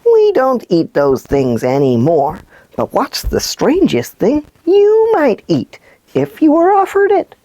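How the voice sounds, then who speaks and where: A woman reading scripted stories and segments for children as a show presenter, with expressive, deliberate delivery. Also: high-pitched, no echo.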